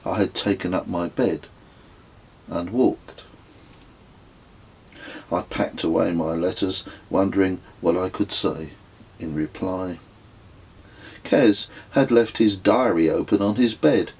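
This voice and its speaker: A middle-aged man narrates a reflective travel memoir in his own words. His voice is fairly low and husky, and he takes his time over each phrase. The delivery is measured and literary, with a dry, wry edge. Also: a little mellow. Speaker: author reading own memoir.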